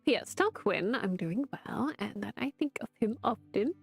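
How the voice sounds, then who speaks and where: A woman voicing a loving aunt's farewell letter, soft and partly whispered, over faint music. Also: mid-range voice, animated, normal speed, vocal fry, slightly rough, dry recording. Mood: tender.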